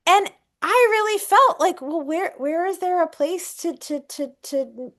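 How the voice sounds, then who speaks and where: A woman speaking as a scholar on an academic panel, earnest and halting as she rephrases her thoughts aloud. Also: extremely high pitch, animated, fairly bright tone. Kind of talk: unscripted academic discussion.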